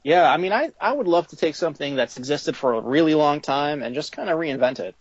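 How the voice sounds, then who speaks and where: A man's voice: on the high side, animated, a little fast, dry recording, lo-fi sound. A man being interviewed about his toy company, talking in a casual, conversational way.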